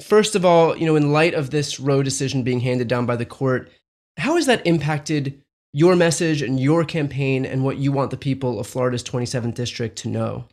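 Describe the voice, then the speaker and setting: A young adult man hosts a political podcast interview. His voice sits at an ordinary middle pitch. He sets up his questions in a measured, conversational way. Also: a little mellow. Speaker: podcast host.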